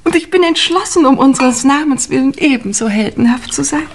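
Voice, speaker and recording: An actress playing a theatrically noble young upper-class lady in a 1950s radio mystery, solemn, precise and high-flown. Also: lively intonation, brisk, a little hiss or hum.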